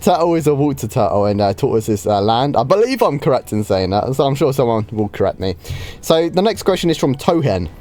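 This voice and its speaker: Guy streaming a game, bit croaky from a cold, relaxed chatty q&a, lots of ums. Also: medium pitch, fairly quick. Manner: chatty, easygoing, with frequent ums and light laughs. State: slightly hoarse from a starting cold. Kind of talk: casual live stream Q&A.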